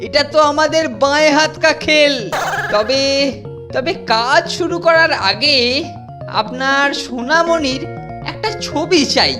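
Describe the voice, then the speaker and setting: A woman's voice: high-pitched, a little slow, music in the background. A woman voicing a boastful, bossy teenage boy in a cartoon, lecturing others in a pompous, mock-grand way. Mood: scheming. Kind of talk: cartoon dub acting.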